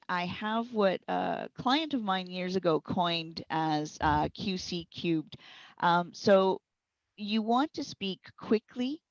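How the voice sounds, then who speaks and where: A woman's voice: mid-range voice, dry recording. A middle-aged woman giving encouraging, conversational explanations as a guest trainer, in slightly dull audio. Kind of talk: conversational instructional interview answers.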